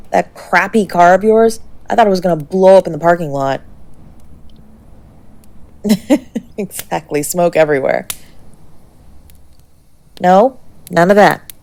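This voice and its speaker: Woman acting a gravelly, tough-tender young girlfriend. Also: medium pitch, a little mellow. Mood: worried, teasing. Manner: blunt, gruffly affectionate, halting when emotional. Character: tough-tender, sharp-tongued young woman fiercely protecting their injured lover. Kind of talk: intimate scripted audio roleplay, acted.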